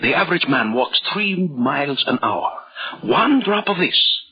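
A man's voice: fairly high pitch, very animated, unhurried, husky, full character voice. An actor playing a grandiose, power-mad scientist in an old radio thriller, insisting feverishly and repeating his words. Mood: feverish, exultant. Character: megalomaniac male scientist obsessed with a speed potion. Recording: dull, lo-fi old radio recording.

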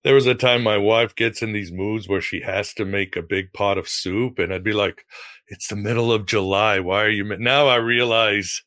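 A man's voice: lowish voice, fairly even intonation, normal speed, a bit bright, no room sound. A man hosting an interview, chatting in an agreeable way. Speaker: host. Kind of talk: casual interview talk.

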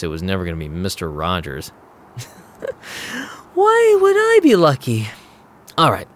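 A man playing a wry, streetwise vampire DJ, narrating an audio drama in a sardonic, casual way. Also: on the low side.

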